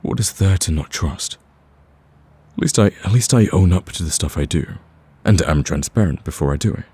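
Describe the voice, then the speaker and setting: A man playing a smitten, cocky villain in a romantic ASMR roleplay, with a husky, very deep voice and a smooth-talking, teasing, pleading manner. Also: animated, normal speed, dark tone, no room sound. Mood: earnest wooing. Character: smitten male villain, cocky, persistent, wooing.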